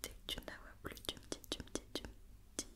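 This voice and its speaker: A woman whispering an ASMR roleplay as a doctor, giving soft, repetitive, reassuring instructions. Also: fast.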